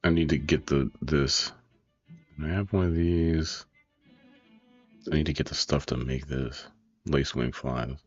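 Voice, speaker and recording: A man with a rough voice, getting over a cold, rambling and joking his way through casual gameplay commentary. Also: very deep voice, steady tone, measured pace, pauses often. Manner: laid-back, rambling, joking. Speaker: gaming streamer. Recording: muffled stream mic with game music.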